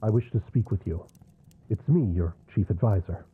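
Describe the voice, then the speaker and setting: A man playing a loyal, scheming older royal chancellor in a fantasy audio drama, speaking in formal, measured, deferential courtly phrases. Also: on the low side, narrow, tinny audio, slight background noise, close to an everyday voice. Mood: deferential, solemn. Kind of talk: scripted fantasy audio roleplay acting.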